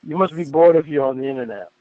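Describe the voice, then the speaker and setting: A man's voice: fairly high pitch, quick pace, no room sound. A man reading a chat exchange aloud and adding his own asides, heard through narrow, tinny playback.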